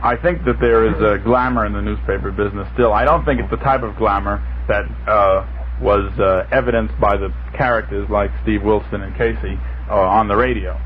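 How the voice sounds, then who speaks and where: A man's voice: lowish voice, steady tone, a little fast, highs cut off. A man talking in an unscripted radio panel discussion as a reporter guest, hesitant and often stammering as he thinks aloud. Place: radio studio. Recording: noisy band-limited 1960s radio broadcast recording.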